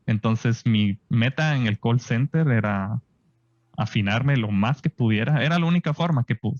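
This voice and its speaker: A man in his thirties from Guatemala with a Guatemalan Spanish accent, telling his story in a relaxed, chatty interview with frequent tag questions. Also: fairly low pitch, no room sound. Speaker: guest scientist. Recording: dull lo-fi dry podcast audio.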